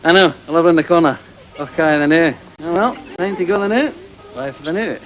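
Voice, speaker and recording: A man's voice: fairly high pitch, fairly quick, soft background music, lightly acted, poor audio. A man playing an awkward, apologetic messenger in a pantomime comedy. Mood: sheepish. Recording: TV stage recording with audience.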